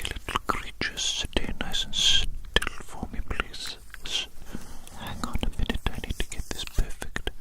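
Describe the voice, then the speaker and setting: A person whispering an ASMR roleplay in a breathy, unvoiced whisper, fussy and methodical, often repeating phrases softly. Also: very high voice, rough recording. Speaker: ASMR performer playing an observer measuring a little creature.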